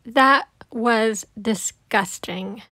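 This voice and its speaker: A woman voicing a fussy, proper grown-up man, an easily flustered babysitter in a children's storybook, in a prim, drawn-out way. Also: a little high, bright, forceful tone, no room sound, clean studio sound, heavily acted. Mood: exasperated. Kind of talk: children's storybook read-aloud.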